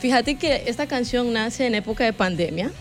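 A woman's voice: fairly high pitch, expressive, moderate pace. Chatty Salvadoran woman over background music. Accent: Salvadoran Spanish. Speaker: singer, interview guest. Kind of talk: casual interview chat.

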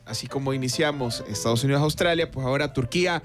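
A man's voice: medium pitch, soft background music. A man with a Salvadoran accent hosting a radio sports panel, steering the talk and signing off. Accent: Salvadoran Spanish. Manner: leads the talk, steers the panel, quick wrap-up sign-off. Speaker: host of a sports talk show.

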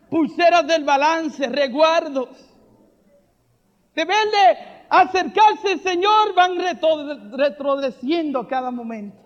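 A man preaching a charismatic sermon, fervent and rising to shouted refrains, with joking asides and soft laughs. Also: extremely high pitch. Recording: reverberant hall, dull lo-fi sound.

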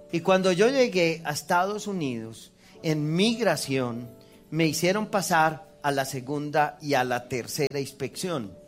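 A Colombian man with a somewhat high voice, preaching as a priest with a Colombian Spanish accent. He tells his testimony like a story, acting out the other people's lines and laughing at himself. Faint music plays behind him.

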